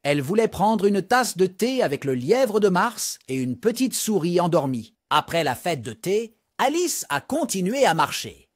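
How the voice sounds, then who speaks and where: A man narrating a scripted storybook for young children in an expressive read-aloud manner. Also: sits high, normal speed, few pauses, dry recording, clean studio sound.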